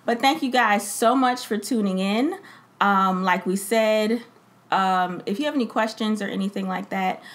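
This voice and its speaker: A woman co-hosting a casual podcast with her husband. She talks in an animated way, backs him up often and thanks the listeners. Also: mid-range voice, normal speed. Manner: animated, affirming. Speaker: podcast co-host, expecting mother. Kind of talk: casual chat.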